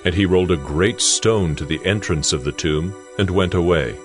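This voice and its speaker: A man narrating dramatized scripture in a measured, solemn storytelling manner. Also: deep voice. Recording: music behind the voice. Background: music.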